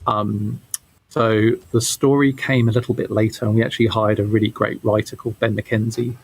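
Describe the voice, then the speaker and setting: A man giving casual interview answers as a game developer, halting and often repeating his words. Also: fairly low pitch, little pitch movement, husky, rough recording.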